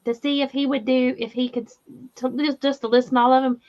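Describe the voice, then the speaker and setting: A woman's voice: high voice, flat delivery, fast, a little mellow. A woman with a Southern US accent hosting a crochet livestream in casual, laughing chat and reading viewer comments aloud. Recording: slightly dull lo-fi audio.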